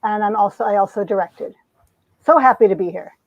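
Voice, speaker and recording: A woman's voice: mid-range voice, brisk. A woman performer and director giving an informal introduction in dull, roomy lo-fi sound. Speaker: director and cast member.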